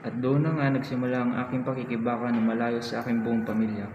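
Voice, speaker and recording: A man's voice: mid-range voice, flat delivery, fast talker, dull audio, natural voice. A man playing an earnest, respectful young student who tells his story in an amateur radio drama. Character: earnest, respectful schoolboy hero telling his life. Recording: echoey hall, noticeable background noise.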